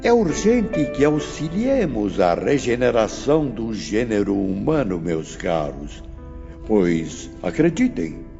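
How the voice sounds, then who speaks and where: An actor playing a stern, learned spirit teacher in a dramatised audiobook, lecturing in a solemn, emphatic, oratorical way. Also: male voice, lowish voice, very animated, slow pace, gravelly, dark tone, full character voice. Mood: urgent, exhortative. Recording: muffled, long reverb, music behind.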